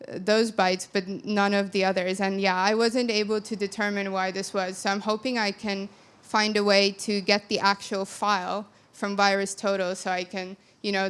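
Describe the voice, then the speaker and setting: Young woman from Finland, conference speaker at a handheld mic, clear even voice, calm measured answers. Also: medium pitch. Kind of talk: answering audience questions. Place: large conference hall with audience. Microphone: handheld microphone.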